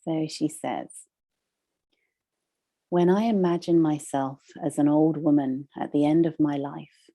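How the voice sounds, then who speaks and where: A woman leading a guided meditation as a teacher, soothing and measured, with long pauses between phrases. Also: low voice, warm tone.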